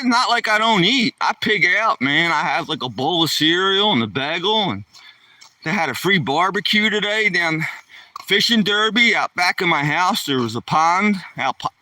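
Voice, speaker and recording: Folksy 60s male streamer, slangy rambler, bright tense voice on a phone mic that drifts off now and then. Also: on the high side, dry recording, far from the mic. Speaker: live streamer. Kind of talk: casual live-stream chat while walking his dog. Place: outdoors on a neighborhood walk. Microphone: handheld phone.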